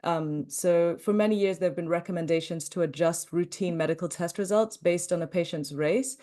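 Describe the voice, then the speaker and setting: A woman's voice: fairly low pitch, moderate pace, warm tone. A woman researcher speaking on a panel, fluent and articulate. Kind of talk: panel discussion.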